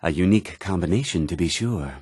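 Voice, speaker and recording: Haughty, husky fantasy townsman, animated. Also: sits low, brisk, no room sound, studio quality. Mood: courteous, theatrical. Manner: smug, theatrical, dryly condescending. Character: haughty, self-important male townsman and merchant of a fantasy world. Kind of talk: video game character dialogue.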